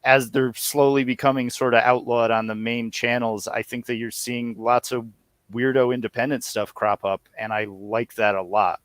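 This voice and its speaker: Male guest, thirties, average pitch, rambling and self-mocking conversational delivery, very little room sound. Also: level tone, normal speed, smooth, dry recording. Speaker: guest, audio series co-creator and musician. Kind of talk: casual interview chat.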